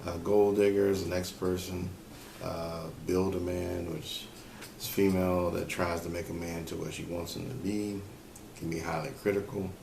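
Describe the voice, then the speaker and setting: A man's voice: deep voice, level tone, normal speed, creaky. A man hosting a solo talk show, giving relationship advice off the cuff and thinking aloud with frequent fillers. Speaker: podcast host. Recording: echoey room with faint ambient noise.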